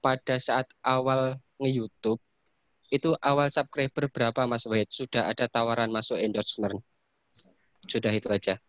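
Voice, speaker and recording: A man's voice: mid-range voice, flat delivery, moderate pace, fairly bright tone, dull audio. A man joining an online seminar to ask a question, eager and polite. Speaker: seminar participant asking a question.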